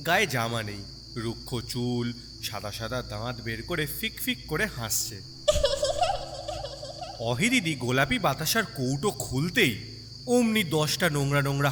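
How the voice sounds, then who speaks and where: A man's voice: medium pitch, noisy background. A man narrating a scripted story expressively, as an audiobook storyteller would. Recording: long reverb over music bed. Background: music and cricket sounds.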